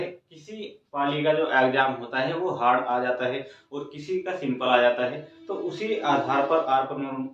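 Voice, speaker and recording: A man giving an informal, instructive explainer to students, repeating phrases for emphasis. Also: fairly high pitch. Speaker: exam coaching host. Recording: very echoey room, distant mic, dull sound.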